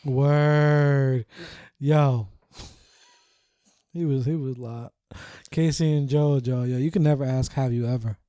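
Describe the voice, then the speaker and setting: A man's voice: mid-range voice, fairly even intonation, slow pace, warm tone, smooth, no echo, clean studio sound. A man co-hosting a casual podcast who chimes in with short remarks. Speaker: podcast co-host.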